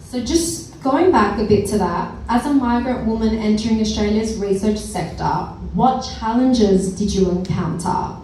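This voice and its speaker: A woman's voice: a little high, a little slow, few pauses. A woman moderating a live panel discussion, introducing the guests and asking them questions. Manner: warm introductions, checks pronunciation and sound. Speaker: event host and panel moderator. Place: large event hall. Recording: echoey hall from far off, faint noise.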